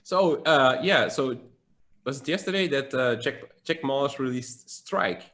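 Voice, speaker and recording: A man with a Belgian accent co-hosting a relaxed live talk show. He reads viewer questions from the chat aloud. His voice sits at a middle pitch. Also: measured pace. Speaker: podcast co-host.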